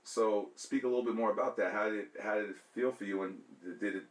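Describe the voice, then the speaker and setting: A man's voice: lowish voice, fast talker, reverberant, far from the mic, crowd noise. A man with a New York accent, hosting a casual radio interview in an informal, slangy, conversational way. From Brooklyn and Westchester, New York. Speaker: radio host and DJ.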